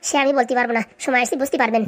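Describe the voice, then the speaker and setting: A woman plays a cheeky cartoon villager. The words pour out extremely fast in long, sarcastic, indignant arguments that talk back to authority. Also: a little high, steady tone, natural voice. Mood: defiant. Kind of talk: comic cartoon dialogue.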